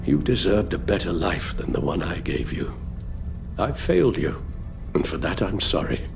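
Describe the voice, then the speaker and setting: Older butler, video game drama. Weary, earnest, formal, very expressive in pitch, guilt in every phrase. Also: male voice, moderate pace, gravelly, over music, heavily acted. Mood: grave, sorrowful. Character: weary elderly male butler, guilt-ridden, grave, fatherly.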